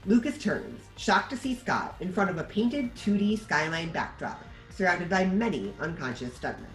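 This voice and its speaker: A woman's voice: lowish voice, very animated, normal speed, soft background music, rough recording. A woman narrator reading the stage directions of a screenplay in scripted narration.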